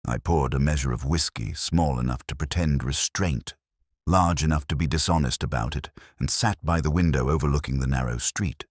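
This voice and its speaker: A man narrating a literary detective story in the first person, in a measured, reflective way. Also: a bass voice, dry recording, clean studio sound. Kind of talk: scripted literary mystery narration.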